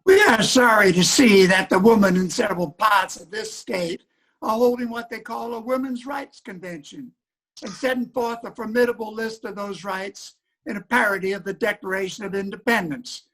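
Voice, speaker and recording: A man's voice: high-pitched, normal speed, fairly bright tone, low-quality recording. A man playing a pompous, disapproving nineteenth-century newspaper writer in a declamatory stage reading. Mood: scornful. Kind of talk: reader's theater recitation.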